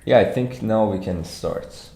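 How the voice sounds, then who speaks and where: Slow Persian-accented man in an echoey room. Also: lowish voice, vocal fry, dark tone. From Iran. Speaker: competitive programming instructor. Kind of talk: informal livestreamed problem walkthrough.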